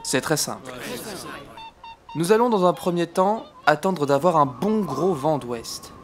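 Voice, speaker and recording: An actor playing a pompous, easily exasperated French army captain of the First World War in a comic audio drama, speaking in a theatrical, declamatory way. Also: male voice, fairly high pitch, expressive, measured pace, music in the background.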